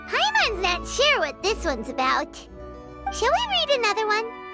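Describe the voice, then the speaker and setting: A cheerful little fairy companion from a video game. The voice is extremely high and childlike, bouncing dramatically up and down. It is chatty and perky, with music playing clearly behind it. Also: female voice, huge pitch swings, a little slow, full character voice. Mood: chirpy, explaining. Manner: speaks of self in third person, perky. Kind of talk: animated video game voice acting.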